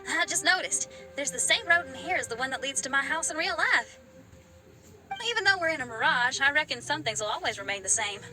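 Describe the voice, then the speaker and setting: A woman's voice: high-pitched, lively intonation, brisk, bright, forceful tone, soft background music, heavily acted, poor audio. A woman voicing a friendly, frank young woman in video game character dialogue. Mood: friendly, reflective.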